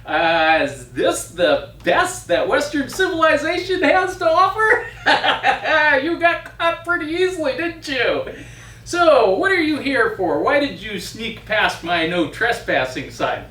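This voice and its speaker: A storyteller voicing an ugly, scheming old witch who cackles and taunts in a high voice. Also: sing-song, unhurried, pushed and bright, recorded from a distance, exaggerated voice. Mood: taunting. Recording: echoey room, speaker off-mic.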